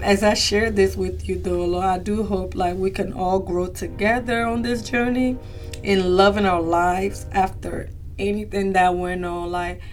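A young woman talks to her listeners in a relaxed solo podcast. She is chatty and heartfelt, uses many fillers and calls her listeners y'all, and her voice is slightly strained after she recently lost it. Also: mid-range voice. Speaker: podcast host. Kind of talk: casual solo podcast talk.

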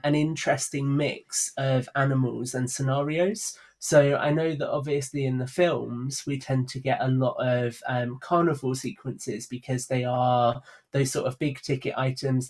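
A man with a medium-pitched voice speaks as a podcast guest. He explains things in an earnest, conversational way, as in a relaxed interview. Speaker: podcast guest, audio drama writer and producer. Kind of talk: casual interview chat.

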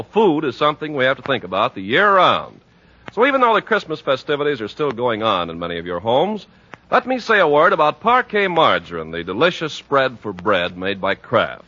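A man with an American accent announcing in 1940s radio style, delivering a scripted sponsor pitch with polished emphasis. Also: sing-song, normal speed, pushed and bright. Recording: muffled 1940s radio broadcast, music behind.

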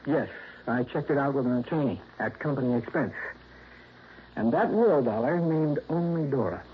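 An actor playing an earnest, troubled insurance claims man in a 1960s radio drama, hesitant and quick to protest. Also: mid-range voice, expressive, slightly rough. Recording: dull lo-fi vintage radio broadcast.

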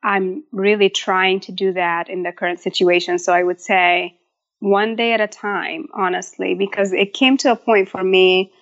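A woman's voice: mid-range voice, little pitch movement, moderate pace, a bit bright, dull audio. A woman in her thirties from Egypt, a food blogger talking casually as a guest in an interview.

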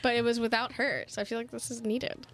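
A young woman runs a casual radio talk show with her friends, her voice sitting a little high. She jokes and swears freely and bursts into loud laughter when they tease her. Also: flat delivery, quick pace. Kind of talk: casual chat.

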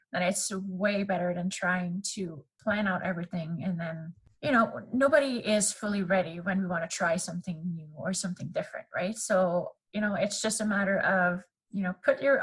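A woman's voice: medium pitch, breathy edge, rough recording. A woman in her thirties from Canada who speaks English with a French accent. French is her first language. She chats casually as a podcast guest, using lots of fillers and laughing easily. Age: 30s. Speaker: podcast guest, graphic designer. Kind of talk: casual interview chat.

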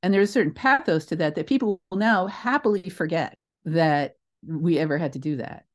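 A middle-aged woman with a slightly low voice speaks as an interview guest. She tells stories conversationally, with emphasis and expression. Her delivery is reflective, like a director explaining her craft. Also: brisk. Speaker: guest, theater director and creator.

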